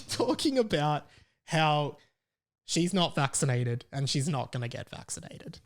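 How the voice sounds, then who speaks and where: An Australian man with a slightly high-pitched voice hosts a podcast on his own. He talks in a chatty, rambling way, with an irreverent comedic edge. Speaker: solo podcast host. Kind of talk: casual comedic rant.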